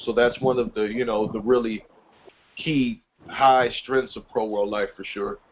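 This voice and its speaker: Man, conference call host, rambling explanations, little pitch movement, dull lo-fi sound. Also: fairly even intonation, measured pace. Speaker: conference call host, business and personal growth consultant. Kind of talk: informal group call talk. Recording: muffled conference call with loud noise, clicks.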